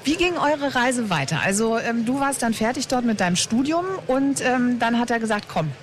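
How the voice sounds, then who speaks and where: A woman with a fairly high voice hosts a live radio broadcast from an outdoor hotel terrace at a festival. She asks her guest chatty, encouraging questions and keeps the conversation moving with quick affirmations. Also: lively intonation, a little hiss or hum. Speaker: radio host.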